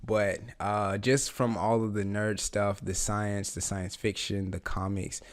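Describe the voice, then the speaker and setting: A man with a raspy voice, just getting over the flu, talking through a TV episode in a rambling, eager way with lots of fillers. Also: on the low side, level tone, a little slow. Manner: rambling, many fillers and restarts, eager fan. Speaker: reaction channel host. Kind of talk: casual reaction commentary.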